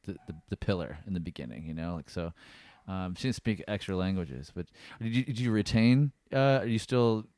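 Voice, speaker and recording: Low smooth-voiced male podcast host. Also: moderate pace, hardly any pauses, a little mellow, no room sound. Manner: friendly, encouraging, asks rambling questions. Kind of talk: casual interview chat.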